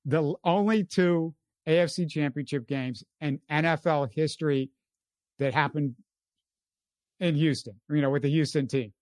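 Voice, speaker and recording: A man's voice: fairly high pitch, moderate pace, a little mellow, no echo. A man hosting a sports show, talking casually and earnestly, repeating phrases for emphasis. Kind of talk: casual sports talk commentary.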